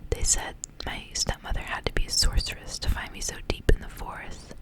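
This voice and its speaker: A woman reading a story aloud in a soft, breathy, intimate whisper for ASMR, with measured storytelling phrasing.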